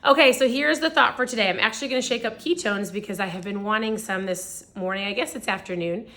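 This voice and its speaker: A woman in her forties hosting a casual live stream, a little hoarse and raspy, chatting upbeat and straight to her viewers. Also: medium pitch, quick pace, fairly bright tone. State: hoarse from screaming. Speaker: host of a live video.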